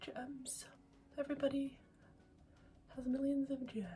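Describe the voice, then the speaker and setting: A woman who is getting over a cold, chatting excitedly through a makeup vlog at home, full of ums and sometimes dropping to a near-whisper. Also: sits high, unhurried, soft background music. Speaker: makeup vlogger. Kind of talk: casual beauty vlog chat. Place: indoors at home, filming makeup at a mirror.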